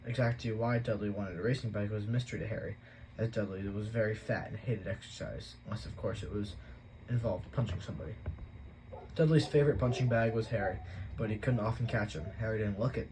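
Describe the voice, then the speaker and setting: A man's voice: fairly low pitch, background noise. Man reading a storybook aloud. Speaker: audiobook reader.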